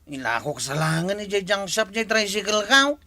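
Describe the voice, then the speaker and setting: A woman's voice: medium pitch, expressive, normal speed, pushed and bright. A woman with an Ilocano accent playing a young male tricycle driver, proud and plaintively protesting, in a comedy drama. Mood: aggrieved. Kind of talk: barangay comedy drama acting.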